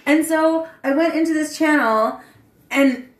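A woman in casual chat who gets loud and animated when excited. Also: sits high, slow. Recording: echoey room.

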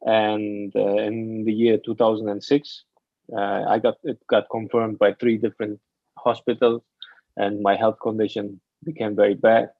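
A man who grew up in Afghanistan and Germany, a mental training coach telling his story haltingly in an interview, often restarting his sentences. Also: on the low side, flat delivery, no room sound, narrow, tinny audio. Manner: halting, searching for words, with many restarts. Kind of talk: casual interview talk.

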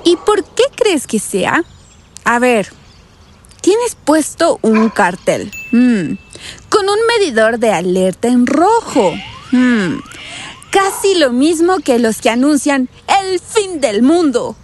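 A breezy, skeptical female vacationer, acted by a woman in an audio drama, with a high voice that swings widely. She is colloquial and sassy, quick to get annoyed, and takes her time over the words, with music playing underneath. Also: big pitch swings, slight background noise, exaggerated voice. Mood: annoyed, sarcastic. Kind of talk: scripted audio drama acting.

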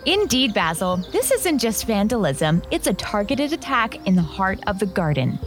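A woman playing a confident, determined detective with a brisk manner in a comic radio mystery. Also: mid-range voice, big pitch swings, music in the background, lightly acted. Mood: determined, triumphant. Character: determined, confident female detective, wryly punning.

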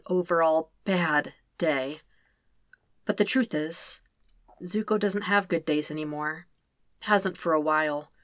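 Female narrator, low register, subdued and measured delivery, dry booth-like sound. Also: a bit bright, no echo. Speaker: fanfiction reader. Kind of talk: scripted narration of a podfic.

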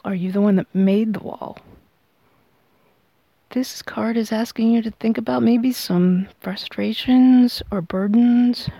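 Creaky-voiced woman giving a tarot reading. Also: mid-range voice, dark tone, no room sound. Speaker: tarot reader and podcast host. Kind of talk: unscripted explanatory tarot reading.

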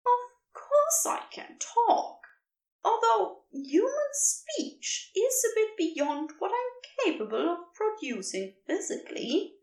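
A huge, gentle dragon creature, voiced by a woman reading a podfic, speaks in a lifted, soft and fussing tone. It sounds kind and warm toward the one it looks after, with a tone set apart from the narration. Its voice swings lively up and down. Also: very high-pitched, takes it slowly, reverberant, recorded from a distance, pitched above the performer's own voice, exaggerated voice. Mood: fond. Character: gentle fond dragon creature, big, caring. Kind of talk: podfic character voice.

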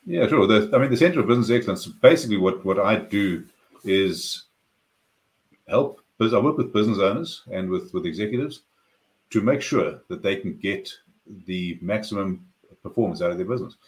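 A man in his forties with a South African accent, a podcast guest explaining his business background in a measured way. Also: fairly low pitch, expressive, low-quality recording. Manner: measured, explanatory, sprinkled with "you know". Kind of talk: podcast interview.

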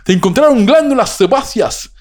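A man putting on a mock Cuban accent as an excitable doctor giving scientific testimony in a comic impression. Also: very high-pitched, normal speed, pitched above the performer's own voice. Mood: mock-earnest. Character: excitable Cuban doctor testifying about alien tissue samples. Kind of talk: comic impression on a talk show.

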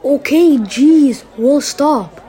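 A young woman acting as a loyal teenage best friend in a student audio drama. The voice is high and exasperated, with faint crowd sound behind it. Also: expressive, drawn-out words, warm tone, exaggerated voice. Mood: protective.

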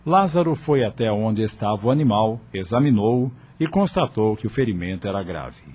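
Low-voiced male radio drama narrator. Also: lively intonation, moderate pace, a little mellow, slightly rough. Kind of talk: scripted narration.

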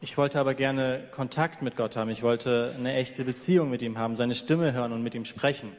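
A man's voice: medium pitch, steady tone, fairly quick. A man working as a live interpreter, relaying a sermon passage by passage in a church. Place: large echoing church hall. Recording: long hall reverb.

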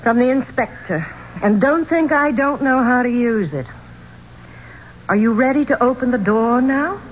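A woman's voice: on the high side, animated, normal speed, natural voice. An actress playing a bold woman singer in a 1970s radio drama, speaking in a dramatic, emphatic way. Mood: accusing, defiant. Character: bold American woman singer cornered in an Egyptian tomb. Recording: muffled, noisy old radio recording.

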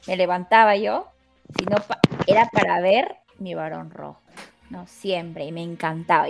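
A woman's voice: mid-range voice, gravelly. A young woman with a Peruvian Spanish accent, chatting casually as a podcast host. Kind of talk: casual group chat about childhood memories.

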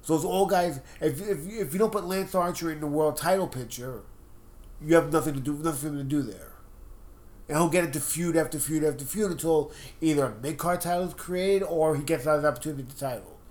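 A man with a slightly high voice and a stuffed-up nose, talking through his views in quick, opinionated bursts. He co-hosts a relaxed wrestling chat podcast, and he pushes his points hard and at length. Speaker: podcast co-host.

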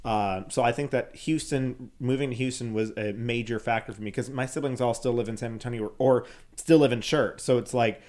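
A man's voice: mid-range voice, fairly quick, darkish tone. A young man co-hosting a podcast, talking candidly and casually, often laughing and swearing. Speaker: podcast co-host. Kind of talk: casual chat.

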